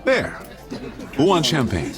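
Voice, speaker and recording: An actor playing a good-humored middle-aged suburban doctor trading dry, mock-grumpy banter in a radio drama. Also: male voice, mid-range voice, huge pitch swings, slow, over music. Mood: hearty, hosting.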